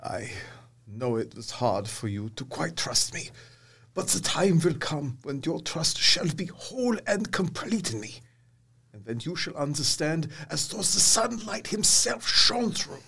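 A man's voice: a little high, very animated, measured pace, vocal fry, bright, forceful tone, breathy edge, full character voice. An actor playing an earnest, fatherly older professor, grave and mysterious, with solemn, emphatic and formal phrasing. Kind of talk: period audio drama acting.

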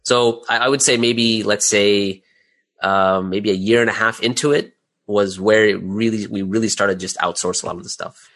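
A man's voice: lowish voice, fairly even intonation, normal speed, a bit bright. A middle-aged man speaking casually as an interview guest, candid and self-deprecating about his own work as a creator. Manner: candid, self-deprecating, chuckles while talking.

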